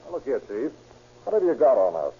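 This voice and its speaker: A man's voice: medium pitch, sing-song, brisk, dark tone, husky. An actor playing a brazen, scheming career robber with slangy tough-guy talk in an old radio crime drama. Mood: brazen, bargaining. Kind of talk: 1940s radio acting. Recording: muffled vintage radio recording.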